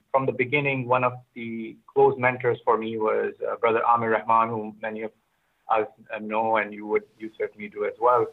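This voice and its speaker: A middle-aged man speaks as an interview guest, with fry running through his voice. He recounts his experiences reflectively, often restarting and repeating words. The sound is narrow and thin, like a phone line. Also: medium pitch. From Pakistan, grew up in Oman. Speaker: interview guest, business founder. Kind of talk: conversational personal storytelling.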